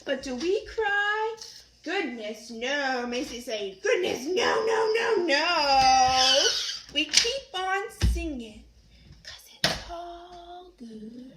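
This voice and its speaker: A woman's voice: sits high, big pitch swings, drawn-out words. A woman reading a picture book aloud to her young child in a sing-song, coaxing way, asking questions as she goes. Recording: roomy, noisy home recording.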